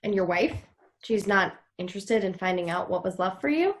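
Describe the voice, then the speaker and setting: A woman's voice: mid-range voice, lively intonation, normal speed, lightly acted. Casting: bold young woman female actor, mid-range voice, teasing and direct, naturalistic TV drama. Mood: curious, probing. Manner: frank, sarcastic. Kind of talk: naturalistic table read of a TV drama.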